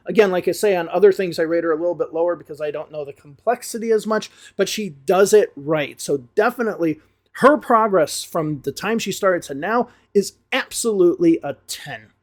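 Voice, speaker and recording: An American man from the Chicago area, an English teacher giving a relaxed spoken review full of hedges. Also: high-pitched. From Chicago area, USA. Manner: relaxed, talks things through with frequent hedges.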